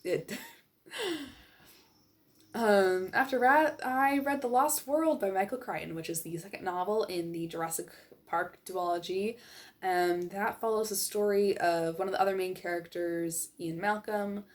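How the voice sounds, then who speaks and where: A woman's voice: medium pitch, measured pace. A young woman chatting casually to viewers as a book vlogger, informal and peppered with "like" and offhand asides. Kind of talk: casual chat to viewers, monthly reading wrap-up.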